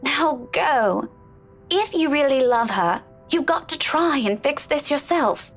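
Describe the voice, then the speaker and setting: A woman's voice: sits high, animated, takes it slowly, soft background music. A woman voicing a blunt, teasing friend in a visual novel game, exasperated but caring. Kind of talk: dramatic visual novel voice acting.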